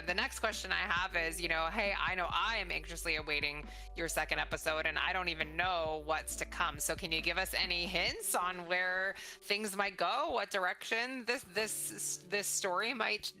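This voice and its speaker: A woman's voice: lowish voice, moderate pace, hardly any pauses, pushed and bright, soft background music, poor audio. A middle-aged woman interviewing in a conversational, encouraging and supportive manner.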